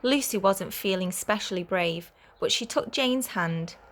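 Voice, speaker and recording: A woman reads a children's storybook aloud. Her voice sits at a middle pitch, and she tells the tale clearly and expressively, like a teacher at story time with young children. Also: a little slow.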